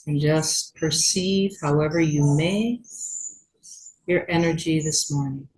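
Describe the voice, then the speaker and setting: A woman yoga teacher leading a guided meditation in a gentle, unhurried manner with long pauses. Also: low-pitched, rough recording.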